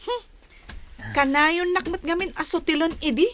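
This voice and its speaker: A woman's voice: very high-pitched, normal speed, a bit bright, slight background noise. A woman playing an outspoken, teasing young village woman in a radio drama, with an Ilocano accent.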